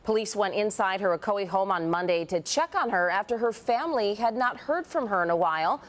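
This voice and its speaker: A woman anchoring a local morning TV newscast, reading stories clearly and steadily. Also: medium pitch, animated, fairly quick. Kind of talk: scripted news reading.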